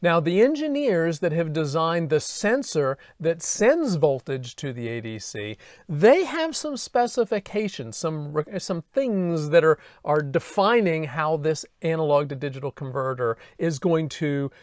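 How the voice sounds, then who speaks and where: A man's voice: a little high, sing-song, measured pace, dry recording, studio quality. A man in his fifties lecturing in a conversational, step-by-step way, posing questions as he explains, on a lapel mic. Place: indoor studio with dark backdrop.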